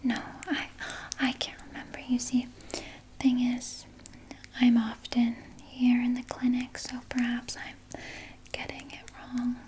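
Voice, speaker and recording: A woman playing a sinister, soothing vampire nurse in an ASMR roleplay, speaking in a soft, breathy whisper with coaxing, falsely reassuring words. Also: a little high, flat delivery, a little hiss or hum. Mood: musing, faintly menacing. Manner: slow, coaxing, falsely reassuring, close personal address. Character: sinister, soothing female vampire nurse tending her captive patient.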